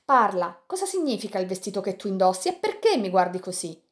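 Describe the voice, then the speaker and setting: A woman reading an audiobook, voicing a loyal, excitable male pirate friend who speaks in a raised, pleading tone. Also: fairly quick, lightly acted. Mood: anxious. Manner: excited, pleading, raised and higher than the narration.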